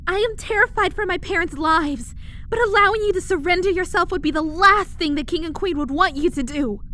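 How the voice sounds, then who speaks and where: Young royal, acted by a woman: composed, earnest alien princess, high-pitched, formal and poised, audio drama. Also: brisk, sharp tone. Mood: pleading, firm. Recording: faint background noise.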